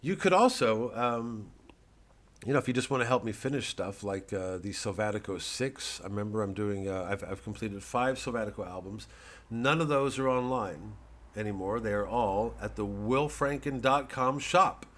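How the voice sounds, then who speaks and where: A middle-aged man with a fairly low voice talks casually, as a comedian promoting his own work would. He wanders off on tangents and circles back, halting often. The tone is wry and unpolished. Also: fairly bright tone. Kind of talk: rambling casual talk to camera.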